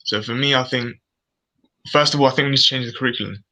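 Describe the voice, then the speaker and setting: Man, British accent, very sharp bright tone, medium pitch, hesitant phrasing, casual guest discussion. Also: quick pace, poor audio. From Britain. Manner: tentative, hedging, repeats words. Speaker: guest on a live online discussion. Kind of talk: casual discussion of personal views.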